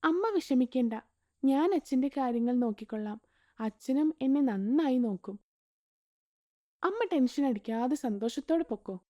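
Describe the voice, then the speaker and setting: A woman voicing a sweet, timid little girl in an animated moral story, speaking softly. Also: high-pitched, normal speed, pauses often. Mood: gentle. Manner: soft, pleading, gentle. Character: sweet timid young girl, a small daughter.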